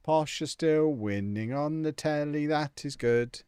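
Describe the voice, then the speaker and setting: A man's voice: fairly high pitch, measured pace, darkish tone, clean tone, no room sound, studio quality. A young man chatting casually and jokily on a live stream, answering viewers' comments as he plays a football management game.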